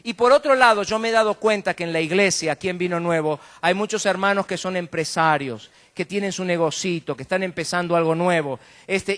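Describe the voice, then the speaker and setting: Argentine guy preaching, pretty high voice, chatty colloquial style, keeps asking little rhetorical questions. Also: fairly bright tone. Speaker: guest preacher. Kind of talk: conversational sermon with jokes.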